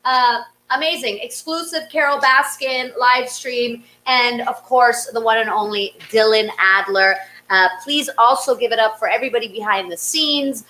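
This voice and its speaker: High bright lady host, super gushy and exclamatory, hyping up the acts. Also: moderate pace, rough recording. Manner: effusive, exclamatory. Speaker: host of a livestreamed variety show. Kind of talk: casual, gushing show hosting.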